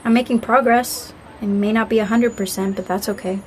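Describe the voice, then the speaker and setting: An actress playing a young woman working through grief, soft-spoken and wry, in an audio drama. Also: on the high side, fairly even intonation, a little fast, slight background noise, close to an everyday voice. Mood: subdued.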